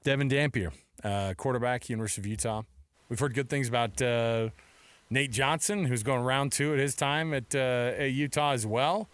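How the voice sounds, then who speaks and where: A man's voice: mid-range voice, normal speed, a bit bright, clear, resonant tone, no echo. A man hosting a sports radio show, chatting casually about the sport.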